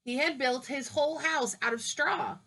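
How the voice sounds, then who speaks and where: A woman's voice: sits high, moderate pace, fairly bright tone, voice pushed up. A woman voicing a male wolf who narrates his own version of a storybook in a chatty, persuasive way. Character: smooth-talking male wolf telling his side.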